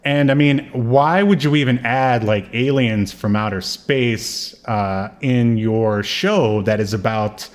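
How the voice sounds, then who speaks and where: A man's voice: medium pitch, slow, few pauses. A man co-hosting a podcast in casual, unscripted chat, thinking aloud in an informal, rambling way. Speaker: podcast co-host.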